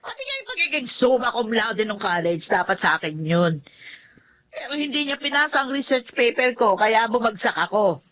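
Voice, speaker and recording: A woman's voice: on the high side, big pitch swings, moderate pace, a bit bright. An actress playing a feisty, grudge-holding older woman in a TV melodrama, with an indignant, combative manner. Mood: bitter, aggrieved. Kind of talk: television melodrama acting.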